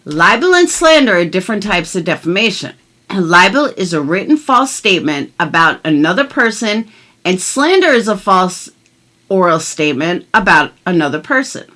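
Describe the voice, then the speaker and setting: Lively, bright-voiced middle-aged woman vlogger. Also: fairly low pitch, moderate pace. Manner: emphatic, blunt, swears freely. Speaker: commentary vlogger. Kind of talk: casual chat and mock-dramatic reading of legal documents.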